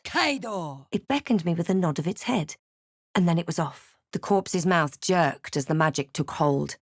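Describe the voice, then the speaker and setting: A woman playing a wry, guarded adventurer heroine in a fantasy game, with a measured, dramatic delivery. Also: fairly low pitch, dry recording, studio quality, exaggerated voice. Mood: forceful incantation. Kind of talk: scripted fantasy game voice lines and narration.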